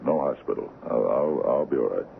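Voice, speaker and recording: Over a tinny old radio broadcast comes an ailing sea captain, extremely deep and full of gravel. He is stubborn and wry, protesting in halting bursts despite his weakness. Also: animated, a little hiss or hum, heavily acted. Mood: stubborn, weary. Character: weakened, stubborn, joking sea captain, sick in bed. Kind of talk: radio drama acting.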